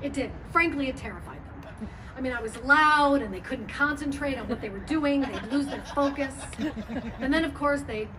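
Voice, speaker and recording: A woman performing a comic stage monologue as a brazen, confiding character, chatty and teasing with pauses for effect. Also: high-pitched, crowd noise, natural voice. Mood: cheeky. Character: brazen, confiding former tax lawyer who loves moaning. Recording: distant mic, noisy live venue.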